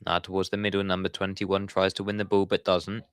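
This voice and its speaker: Deep-voiced man calling football live. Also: monotone, fairly quick, clean tone, no room sound, clean studio sound. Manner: chatty, talks to viewers in the chat. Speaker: watchalong streamer. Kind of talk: live football commentary on a stream.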